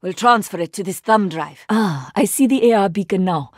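Woman acting as proud Indian female agent, Indian accent, video game hero, precise wording, dry wit, medium pitch, studio-dry recording. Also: lively intonation, moderate pace, no room sound, natural voice. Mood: businesslike. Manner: formal, precise, dryly sarcastic wording. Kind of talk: video game character voice lines.

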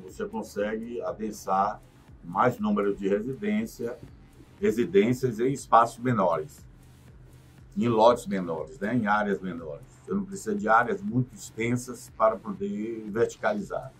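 A man, a guest in a radio studio interview explaining things in a measured way, often adding "né". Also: on the low side, slightly rough, faint music behind, rough recording. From Salvador, Bahia, Brazil. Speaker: guest, real estate developer. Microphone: studio condenser mic on boom arm.

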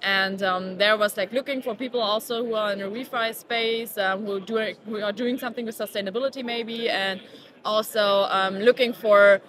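A woman in her thirties, a startup co-founder, answering interview questions in a halting way, with many fillers and restarts. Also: mid-range voice, steady tone, fairly bright tone. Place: busy indoor conference venue. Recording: conference crowd chatter behind.

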